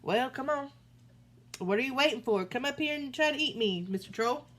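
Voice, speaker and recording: A woman's voice: high voice, expressive, normal speed, a bit bright, voice pushed up. Bold big billy goat, male, taunting and cocky, storybook reading, voiced by a woman.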